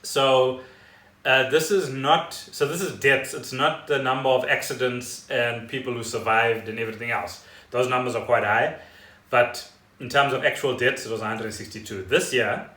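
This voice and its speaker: A man's voice: mid-range voice, fairly even intonation, moderate pace, bright, forceful tone. A South African man co-hosting a casual podcast, explaining things in a wry, conversational way. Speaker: podcast co-host. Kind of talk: casual chat explaining news. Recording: roomy, echoey home recording.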